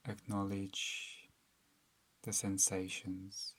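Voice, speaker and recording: A man narrating a guided meditation in a calm, soothing, unhurried manner, leaving long pauses between phrases. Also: sits low, slightly rough.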